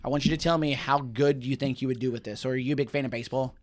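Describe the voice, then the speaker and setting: A man from Texas hosting a casual podcast, chatty and quick to laugh, telling stories. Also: medium pitch, fairly even intonation. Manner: chatty, laughs often, storytelling. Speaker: podcast host.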